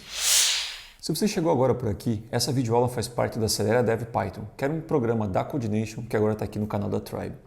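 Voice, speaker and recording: Fast-talking gravelly Brazilian man. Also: fairly low pitch, darkish tone. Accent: Brazilian Portuguese. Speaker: tech manager presenting the course. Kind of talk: scripted course introduction.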